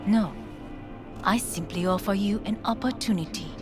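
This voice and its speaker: An actress playing a composed, calculating corporate heiress in a video game, with formal, measured, commanding speech. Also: female voice, medium pitch, natural voice. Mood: insistent, cool. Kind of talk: video game dialogue acting. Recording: music behind the voice.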